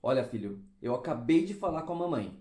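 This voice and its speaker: Performer playing a concerned, animated father in echo. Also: mid-range voice, a little fast, natural voice. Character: concerned, reasoning father of a schoolboy.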